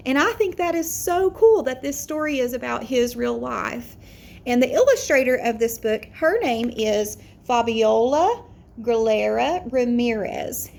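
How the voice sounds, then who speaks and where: A woman's voice: fairly high pitch, vocal fry, darkish tone. A woman teacher introducing a picture book to young children with simple, explanatory phrasing. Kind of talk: read-aloud for young children.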